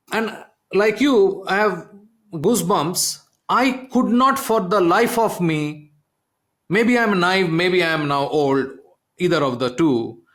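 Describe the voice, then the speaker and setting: A middle-aged man, a podcast host, telling a story in an animated way and often asking "okay?". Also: sits high. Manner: animated, repeats "I mean" and "okay?". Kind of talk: conversational storytelling.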